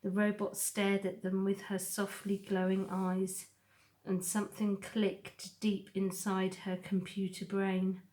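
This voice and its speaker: A woman narrating a children's novel aloud, with measured and expressive storytelling. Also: medium pitch. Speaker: storybook reader.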